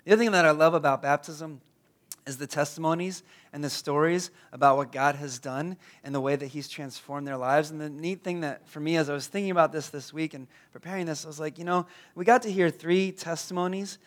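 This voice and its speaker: A hoarse, raspy-voiced man in his thirties, a pastor praying and then preaching, who often stumbles and repeats words. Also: on the high side, fairly even intonation, fairly quick. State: hoarse from a cold. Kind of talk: prayer and sermon.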